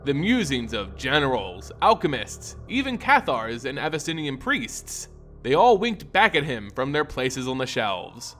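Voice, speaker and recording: A man's voice: medium pitch, very animated, unhurried, fairly bright tone, faint music behind. A man narrating a scripted fantasy story in a theatrical, brooding manner.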